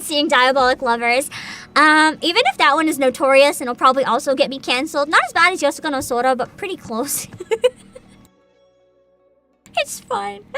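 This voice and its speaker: A woman's voice: high-pitched, pushed and bright. A woman streamer chatting casually on a livestream, thinking aloud, hesitant and often laughing.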